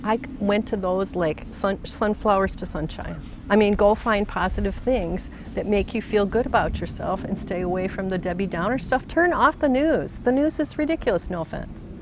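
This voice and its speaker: A middle-aged woman job coach giving an energetic, emphatic pep talk in a documentary interview. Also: medium pitch, moderate pace, few pauses, background noise.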